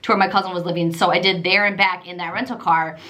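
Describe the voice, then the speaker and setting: A woman from Michigan with a Midwestern accent with a Southern trace, telling a travel story to camera in a chatty, rambling way. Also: fast. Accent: Midwestern American with a trace of Southern. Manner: chatty and animated, lots of fillers and repetition, jumps pitch when quoting herself. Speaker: travel vlogger.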